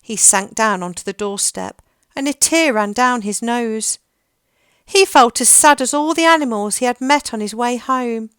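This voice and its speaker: A woman reading a children's picture book aloud in a gentle, expressive storytelling manner. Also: fairly high pitch, normal speed, smooth, no echo, studio quality.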